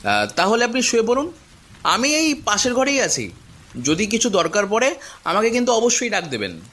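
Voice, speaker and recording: Man acting a bright, animated village elder brother. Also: sits high, moderate pace, exaggerated voice. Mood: hospitable. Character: bossy but kind-hearted village man, elder brother type. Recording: faint rain noise behind voice.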